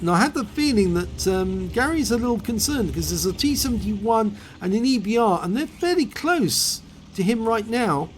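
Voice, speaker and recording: A man with a hoarse voice after a cold, calmly explaining and calling the play in a video game replay. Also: high-pitched, moderate pace, a little hiss or hum.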